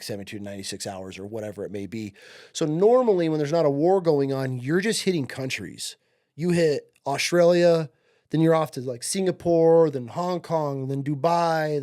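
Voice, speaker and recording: Male guest, late thirties, from upstate New York; relaxed, profane storyteller whose voice sits slightly high. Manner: laid-back, profane, says "dude" and "like" a lot. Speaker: podcast guest, Marine veteran. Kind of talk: casual interview storytelling.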